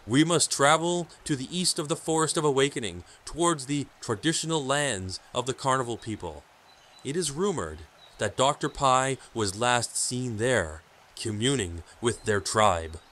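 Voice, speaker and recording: A man's voice: mid-range voice, animated, normal speed, a bit bright, slight background noise, natural voice. Man playing a grave forest hermit sage. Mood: solemn. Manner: grave, portentous, formal. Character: solemn, stern hermit keeper of sacred word. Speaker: audio drama actor. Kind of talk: audio drama acting.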